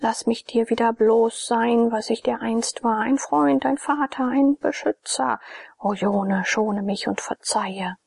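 A woman reading a scheming, imploring Egyptian man in a storybook, in a breathy half-whisper. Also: fairly high pitch, slow, darkish tone, no echo, heavily acted. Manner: pleading, insistent.

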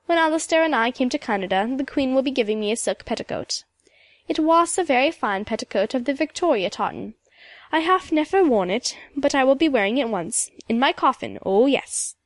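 A woman's voice: high voice, lively intonation, brisk, no echo. A woman voicing a composed, proud, sorrowful elderly woman in an audiobook, with lilting old-country phrasing and a habit of tagging on "oh yes". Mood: dignified, gratified. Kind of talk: audiobook character dialogue.

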